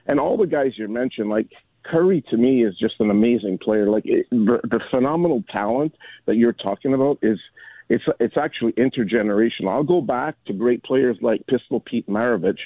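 A man's voice: mid-range voice, brisk, dry recording. Rambling older man on a phone line. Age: 60s. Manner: rambling, repeats himself, chummy. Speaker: caller. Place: coffee shop at night. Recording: tinny dry phone line.

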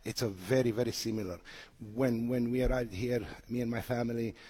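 A man from Romania answering interview questions as a resort representative, speaking haltingly with frequent hesitations. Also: medium pitch, fairly even intonation, warm tone, husky. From Romania (Transylvania). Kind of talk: unscripted radio interview answers.

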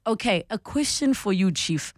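A South African woman hosts and advises in conversational style, with a mid-pitched voice. She explains things in an encouraging way, and the sound is dry with very little room. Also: lively intonation, normal speed, no room sound. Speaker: radio host and mentor. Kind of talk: conversational advice and interviewing.

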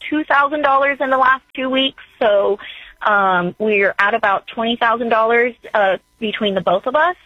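A woman's voice: a little high, dry recording. A woman in her twenties calling in to ask for advice, talking in a hesitant, rambling way with many fillers. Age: 20s. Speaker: caller. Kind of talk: call-in advice question. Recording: dull phone line.

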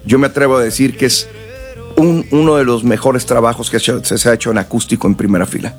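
A man from Mexico and South Texas, a musician guest chatting casually, relaxed and rambling with many fillers. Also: medium pitch, fast talker, soft background music. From northern Mexico and South Texas. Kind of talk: casual interview chat.

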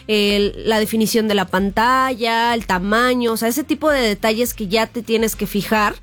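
A woman's voice: on the high side, normal speed, fairly bright tone. A woman with a Mexican accent co-hosting a car show in a casual, teasing, informal way. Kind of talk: casual chat.

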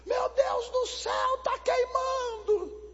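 A woman's voice: extremely high pitch, fairly even intonation, takes it slowly, big-room sound, muffled recording, voice pushed up. A man voicing a woman from abroad who screams that she is burning. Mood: screaming. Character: foreign woman crying out in burning pain.

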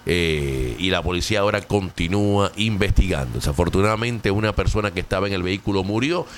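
A man's voice: deep voice, animated, fairly quick, a bit bright, slight background noise. A Puerto Rican man hosting a talk show, reading local news in a chatty way, with many fillers and English words mixed in. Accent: Puerto Rican Spanish. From Puerto Rico.

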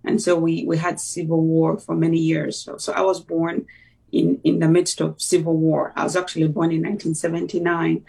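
A middle-aged woman with a Ugandan accent and a very creaky, husky voice, heard a little way from the microphone. She talks conversationally as an interview guest, hesitating and pausing partway through her sentences. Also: low-pitched, monotone, a little mellow, distant mic. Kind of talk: conversational personal recollection.

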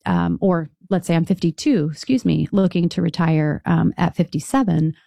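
Low gravelly-voiced woman advisor. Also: normal speed, mellow, dry recording, clean studio sound. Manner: explanatory, conversational, with restarts. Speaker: financial advisor, guest. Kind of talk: radio call-in advice chat.

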